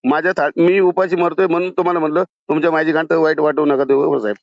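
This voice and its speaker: A dull, lo-fi phone recording of a male caller. He talks quickly in a slightly high voice and keeps pleading and pressing to be heard. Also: little pitch movement, dry recording. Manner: insistent, pleading, repeatedly interjecting. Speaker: caller to a politician. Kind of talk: phone conversation.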